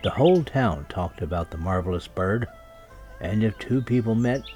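A man's voice: lowish voice, lively intonation, moderate pace. A man narrating a scripted children's story as a storyteller. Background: music and birdsong sound effects.

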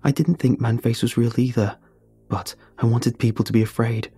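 A calm, cryptic young Eagle Scout speaks evenly and earnestly in a spooky storybook tale, as a male narrator puts him on. His voice sits low and gravelly, with a husky edge, and faint music plays underneath. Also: normal speed, no room sound.

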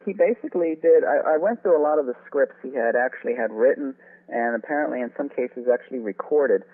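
A man's voice: mid-range voice, fairly quick. A man being interviewed as an author, explaining radio history in a conversational way. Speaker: interview guest, author on old-time radio. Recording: phone-like narrow, tinny sound.

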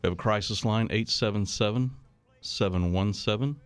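A man's voice: lowish voice, moderate pace, smooth, no room sound, faint music behind. A man hosting a casual talk radio show in a conversational, jovial and teasing way. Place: radio studio.

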